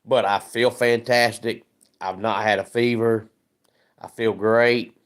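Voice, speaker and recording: A man hosting a casual sports livestream, hoarse and scratchy from a head cold, chatting and greeting viewers by name. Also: fairly low pitch, fairly even intonation, slow pace. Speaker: sports channel host.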